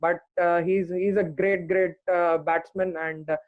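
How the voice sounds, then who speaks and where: High-voiced man on a tinny line. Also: flat delivery, no echo. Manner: earnest, many "uh" pauses. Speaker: podcast guest, cricket fan. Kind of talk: casual podcast chat. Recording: dry tinny phone-like line.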